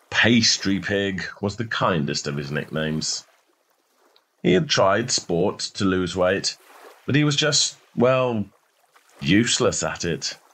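A man narrating a short story in a measured, expressive way, as in an audiobook. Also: low voice.

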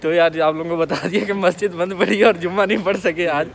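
A man, chatting casually to a phone camera held at arm's length as he vlogs on city streets and in a flat. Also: sits high, fast talker. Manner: chatty, walking and talking to a handheld camera. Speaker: vlogger. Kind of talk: casual vlog chat. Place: city streets outdoors, then a flat indoors.

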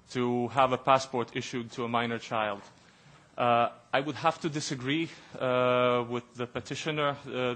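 A man in his thirties giving a formal statement as a Commission representative, measured and often pausing on "uh", into a desk microphone in a large committee room. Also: medium pitch, fairly bright tone. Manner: measured, with frequent hesitant "uh" fillers.

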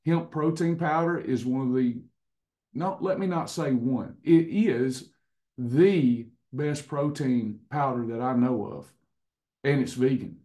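A hoarse man in his fifties hosting a casual online recipe and wellness class over a webcam, chatty, with frequent restarts. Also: medium pitch, slow pace, warm tone. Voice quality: slightly hoarse, clears throat. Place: indoors in front of a printed backdrop. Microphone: webcam on a video call.

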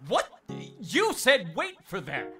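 A man's voice: high-pitched, huge pitch swings, takes it slowly, pushed and bright, faint music behind, pitched above the performer's own voice, heavily acted. A man voicing a grumpy, sluggish, put-upon man who drawls and grumbles in an audiobook. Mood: irritated.

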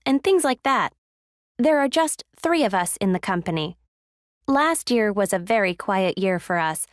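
High-voiced friendly businesswoman, acted by a woman. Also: no room sound, studio quality. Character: friendly woman running a small design company. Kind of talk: scripted small talk for an English listening exercise.

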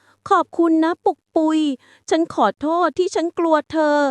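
A woman doing a tiny squeaky mouse girl. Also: flat delivery, unhurried, no room sound. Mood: grateful. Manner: high pleading cries. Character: small frightened female mouse girl.

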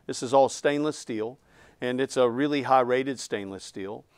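Man in his fifties, slightly low voice, clean and resonant, dry studio sound, technical product explanation. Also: normal speed, smooth, no room sound. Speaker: product designer explaining a loudspeaker. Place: indoor studio set, seated.

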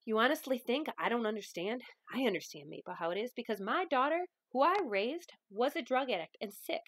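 A stern but loving grandmother, acted by a woman reading from a novel, speaks in a high voice. She is emphatic and folksy, handing out tough love with firm conviction, recorded dry. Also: animated, a little fast, a bit bright, no echo. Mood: stern, impassioned.

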